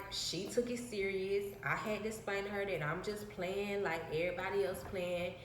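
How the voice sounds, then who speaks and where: A woman with a soft, reserved voice, casually telling a rambling story to her phone camera and repeating her phrases. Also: mid-range voice, reverberant, a little hiss or hum. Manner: rambling, repeats phrases, slips into quoted dialogue. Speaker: vlogger.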